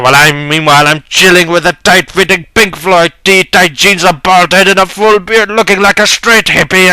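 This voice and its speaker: A man's voice: fairly high pitch, bright, forceful tone, no room sound, low-quality recording. A young man giving a dramatic first-person reading of a story in a mock-serious, earnest manner.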